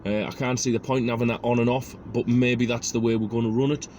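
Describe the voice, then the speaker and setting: A man's voice: medium pitch, flat delivery, fast talker, a little mellow. A man giving a casual, self-deprecating hands-on demonstration, thinking aloud in an informal way as he works in a car. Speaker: car DIY video host. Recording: clear background noise.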